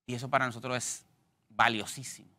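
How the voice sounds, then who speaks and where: Bright-voiced Dominican comedian. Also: male voice, mid-range voice, fast talker. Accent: Dominican Spanish. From Dominican Republic. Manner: gestures with his hands, lively and joking. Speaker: stand-up comedian guest. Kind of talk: animated interview talk. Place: TV studio set.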